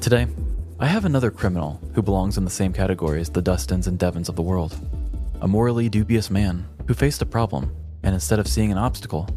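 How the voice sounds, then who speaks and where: A man's voice: deep voice, fast talker, dark tone, soft background music. A man co-hosting a comedy podcast, moving between casual banter and scripted humorous storytelling.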